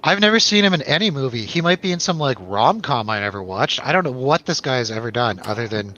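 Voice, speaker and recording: A man's voice: mid-range voice, animated, quick pace, pushed and bright. A man hosting a casual film review show in a jokey, irreverent way. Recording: dull lo-fi, faint background noise.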